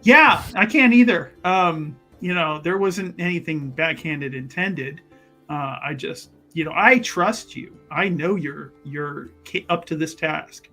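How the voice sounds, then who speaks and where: A scheming adventurer played by a man talks in a fairly high, very bright voice. He wheedles and hedges, patting his partner on the head with mock-earnest reassurance, in the loose improvised manner of a tabletop game. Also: takes it slowly, soft background music, exaggerated voice. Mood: falsely reassuring. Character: smooth-talking scheming male adventurer, condescendingly reassuring. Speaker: tabletop role-playing player. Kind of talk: improvised in-character actual play.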